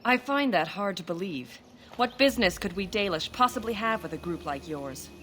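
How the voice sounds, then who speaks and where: An actress playing a wary, stern elven forest sentry in a fantasy video game, speaking curtly and formally. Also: female voice, medium pitch, expressive, normal speed, fairly bright tone, a little hiss or hum, lightly acted. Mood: hostile, wary. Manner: curt, guarded, formal.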